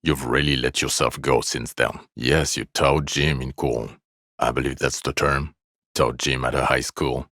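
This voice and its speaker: A very deep, gravelly jaded detective. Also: male voice, measured pace, no echo, clean studio sound, heavily acted. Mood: weary, grudging. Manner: weary, blunt, deadpan sarcasm with profanity. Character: jaded, bitter, sardonic male police detective. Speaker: video game voice actor. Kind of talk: dramatic video game dialogue.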